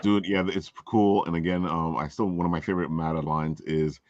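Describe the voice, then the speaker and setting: A man hosting a casual chat in an enthusiastic, jokey, halting way. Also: low voice, level tone, a little mellow, dull audio. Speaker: show host.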